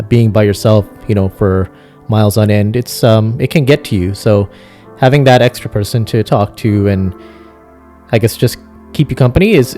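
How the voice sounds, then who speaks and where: Mellow, lower-pitched man, casual and halting. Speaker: gaming video host. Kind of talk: casual roleplay chat while driving in a game. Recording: music behind the voice. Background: music.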